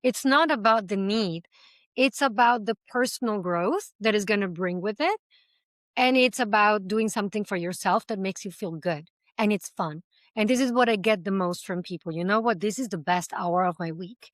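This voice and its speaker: A woman's voice: mid-range voice, moderate pace, dry recording. Passionate woman, dry close sound. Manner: passionate, talkative, uses everyday examples; speaks several languages. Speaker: language school founder, interview guest. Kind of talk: conversational interview answers.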